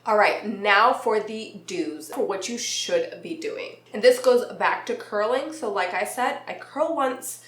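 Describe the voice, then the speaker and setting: A young woman vlogging casually about beauty, chatty and laughing mid-sentence, her voice a little nasal and raspy from a recent illness. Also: medium pitch, animated, measured pace, few pauses, echoey room. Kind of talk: casual talk to camera.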